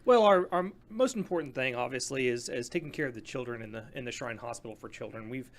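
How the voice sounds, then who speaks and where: Earnest man, mid-pitched voice. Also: animated, fast talker. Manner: earnest, repeats words when starting sentences. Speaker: charity club member guest. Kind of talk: radio interview chat.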